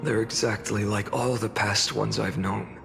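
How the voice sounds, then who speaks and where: Low, slow, grave warrior. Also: male voice, steady tone, music in the background. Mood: grave, knowing. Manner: solemn, measured, breathy menace. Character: grave, weary male warrior worn by countless cycles, menacing. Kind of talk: video game voice acting.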